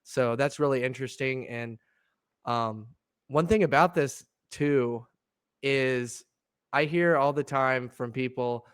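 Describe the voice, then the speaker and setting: A young man co-hosting a laid-back podcast, his voice a little gravelly and nasal from allergy congestion. He speaks at a middling pitch, and the sound comes through smooth and clear in a dry, close room. He rambles easily, restarts sentences and laughs often. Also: takes it slowly, dry recording. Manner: easygoing, laughs often, many fillers and restarts. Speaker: podcast co-host. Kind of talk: casual chat.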